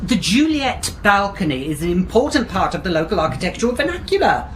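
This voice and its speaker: An actor playing a smooth-talking, pushy male estate agent with breezy sales patter in a radio drama. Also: high voice, very animated, fairly quick, fairly bright tone, slight background noise. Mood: salesy.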